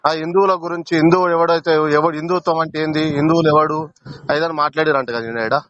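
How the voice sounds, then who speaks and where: A man's voice: fairly high pitch, fairly even intonation, fast talker, fairly bright tone, no room sound. A man calling on the phone and arguing angrily, confronting the other man. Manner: angry and confrontational. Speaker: caller. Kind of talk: heated phone argument. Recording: muffled phone call.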